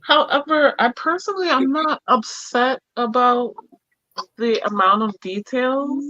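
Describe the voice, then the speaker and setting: Female panelist, crisp forward tone in a dry close recording, informal discussion. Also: sits high, a little slow, bright, forceful tone, no echo, muffled recording. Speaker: book club panelist. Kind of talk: casual group book discussion.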